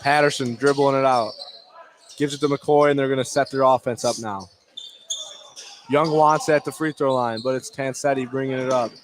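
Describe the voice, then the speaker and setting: A man doing live play-by-play radio commentary of a high school basketball game in clipped running phrases. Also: fairly even intonation, moderate pace, slight background noise.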